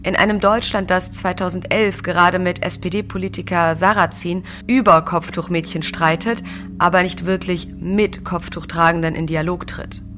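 A woman in her early thirties hosting a discussion in an articulate, measured way. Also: lowish voice, steady tone, fairly bright tone, slight background noise. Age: early 30s. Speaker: podcast host. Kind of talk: scripted narration and conversation about books and society.

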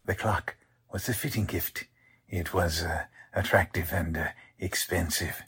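An actor playing a defensive, flustered small male doctor in a 1940s-style radio mystery, husky and halting. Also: low-pitched, fairly bright tone, heavily acted. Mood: smoothly evasive. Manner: halting, indignant, slightly stammering. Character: small suspect male doctor, stage mind reader, flustered.

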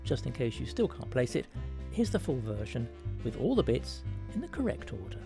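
A man presents a scripted music segment with a wry touch. His pitch moves around in a lively way over a gravelly, creaky voice, with music clearly behind him. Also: moderate pace. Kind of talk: scripted radio-style presenting.

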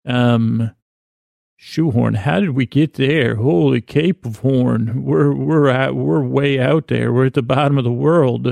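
A man with a heavily creaky, gravelly voice talks in a mid-pitched register, recorded close and dry. He rambles as a sleep podcast host, stopping, restarting and wandering off in a gently comic monologue. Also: no room sound. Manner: halting, meandering, self-interrupting.

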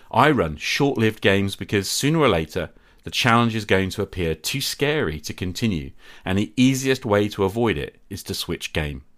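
A man hosting a podcast, reading a measured, candid and self-critical monologue. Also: fairly low pitch. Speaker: podcast host. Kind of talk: reflective scripted monologue.

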